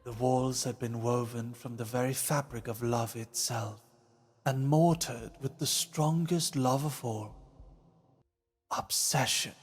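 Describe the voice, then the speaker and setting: Male narrator, mid-pitched, very unhurried, portentous fantasy storytelling, music behind. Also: fairly even intonation. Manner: solemn, portentous. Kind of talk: dramatic scripted fantasy narration. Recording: long reverb, music behind.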